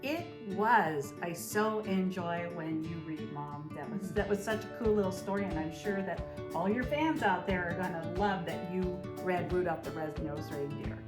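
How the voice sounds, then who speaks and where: A woman's voice: on the low side, moderate pace, few pauses. A middle-aged woman co-hosting in a casual chat. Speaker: co-host. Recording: roomy, loud guitar music.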